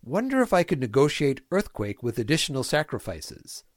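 A man's voice: mid-range voice, big pitch swings, moderate pace, no echo, clean studio sound. A man voicing a cheerfully villainous, germ-hating small-town mayor, reading his diary in a folksy, prim, clipped way for a comic fan-fiction audio. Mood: matter-of-fact scheming. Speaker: fan audio drama voice actor.

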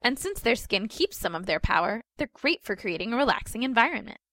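A woman's voice: on the high side, sing-song, brisk, sharp tone, dry recording, exaggerated voice. A sly teenage girl, voiced by a woman reading a story explains things with mock patience and a straight face. The sound is close and dry, and her tone stays matter-of-fact, as if teaching. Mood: mock-wise. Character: sly teenage girl, mock-wise, deadpan leg-puller.

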